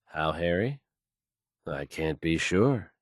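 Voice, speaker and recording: A man's voice: low-pitched, lively intonation, slow pace, no room sound, clean studio sound, exaggerated voice. An actor playing a confident, easygoing radio newsman in a video game, suave and wry, with a polished, folksy delivery. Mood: wry, assured. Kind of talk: smooth scripted radio news delivery, video game voice acting.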